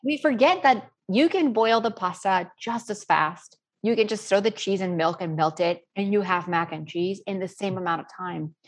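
A woman's voice: mid-range voice, normal speed, few pauses. A middle-aged woman from Spain answering interview questions in an earnest, rambling, conversational way. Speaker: interview guest, self-taught cook.

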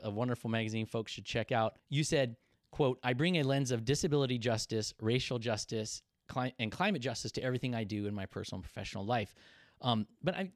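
A man's voice: mid-range voice, no room sound, clean studio sound. A man hosting a conversational interview, framing questions thoughtfully with searching phrasing and many fillers. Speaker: podcast host.

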